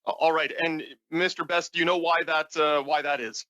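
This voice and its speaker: A man's voice: a little high, little pitch movement, normal speed, sharp tone, dry recording, clean studio sound. A man putting formal questions to a witness as a committee member.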